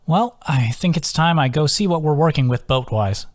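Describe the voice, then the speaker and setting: A man's voice: a little high, lively intonation, normal speed, no echo, clean studio sound, pitched above the performer's own voice, natural voice. A man voicing a shrewd, puzzle-solving male companion with a musing, practical manner in an audiobook.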